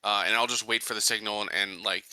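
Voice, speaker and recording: A man's voice: fairly low pitch, steady tone, fast talker, sharp tone, no room sound, low-quality recording. A man casually talking through plans as a player in a tabletop roleplaying game. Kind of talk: casual planning discussion.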